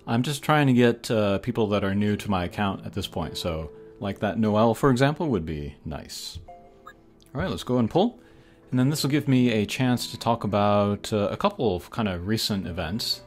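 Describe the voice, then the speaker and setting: A man's voice: on the low side, lively intonation, moderate pace. A man hosting a gaming channel, giving casual, hesitant commentary as he thinks aloud over mobile game pulls. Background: game music.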